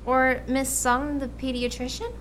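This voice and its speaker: A woman plays a brisk American mother in a family radio drama. Her voice is high, patient and gently coaxing, with faint background noise. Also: little pitch movement. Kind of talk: radio play acting.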